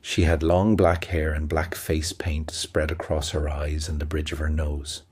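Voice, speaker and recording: A man narrating a fantasy audio play as a storyteller, with a measured, even delivery. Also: extremely low pitch, husky. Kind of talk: scripted fairy-tale narration.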